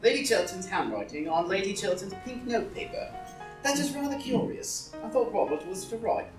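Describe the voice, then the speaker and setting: A woman's voice: medium pitch, sing-song, a little fast, close to an everyday voice. A woman playing a foppish, idle, witty young lord in a comedy play reading, languid and arch. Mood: musing. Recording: roomy live reading, distant mic, music.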